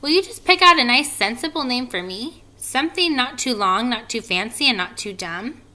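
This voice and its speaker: Tiny baby girl spider, acted by a woman: high, bright, spirited and friendly, for a storybook. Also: animated, moderate pace. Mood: perky, curious. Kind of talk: children's storybook character reading.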